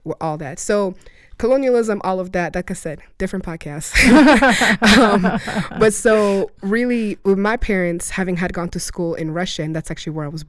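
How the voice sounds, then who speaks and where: A woman's voice: medium pitch, moderate pace, hardly any pauses, no room sound, clean studio sound. A woman in her late twenties from Rwanda, whose first language is Kinyarwanda, talking as an interview guest with frequent laughs and restarts. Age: 20s. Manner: laughs often, many restarts and trailing clauses. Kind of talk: casual interview storytelling.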